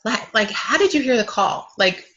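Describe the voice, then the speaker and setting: A woman hosting an interview, asking open, curious questions in a relaxed, conversational way. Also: on the high side, moderate pace, highs cut off. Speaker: interview host.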